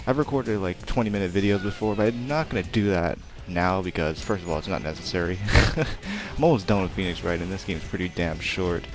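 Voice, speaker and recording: A young man giving rambling, casual let's play commentary with a naturally quiet voice. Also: deep voice, a little fast. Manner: rambling, chatty, self-deprecating, many fillers. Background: game music.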